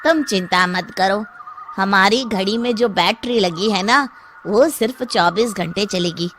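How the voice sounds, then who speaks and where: A woman's voice: mid-range voice, a little slow, loud music behind the voice, natural voice. A woman voicing a worried male scientist in a children's cartoon story, speaking with earnest concern. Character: worried male scientist, explaining to his partner.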